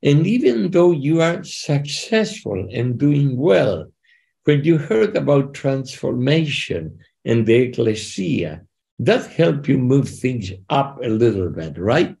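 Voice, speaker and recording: A man's voice: medium pitch, big pitch swings, takes it slowly, vocal fry, darkish tone. An older man hosting a faith podcast over a video call from his living room, speaking with fervent, preacher-like emphasis. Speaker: podcast host. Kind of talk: conversational interview.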